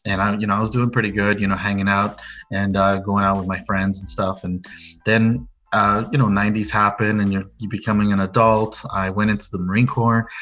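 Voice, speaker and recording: Low-voiced, even-toned American man in his forties. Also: brisk. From Norwalk, California, USA. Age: late 40s. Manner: rambling, frequent "you know". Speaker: podcast guest, DJ. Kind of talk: personal storytelling in a casual interview. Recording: muffled lo-fi audio over music.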